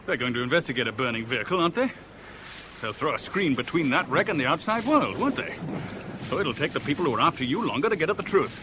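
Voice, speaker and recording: An actor playing a tense, clipped, commanding escape organiser in a 1970s radio thriller. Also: male voice, on the high side, sing-song. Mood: brisk, planning. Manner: brisk, insistent questioning. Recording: noisy old radio drama recording.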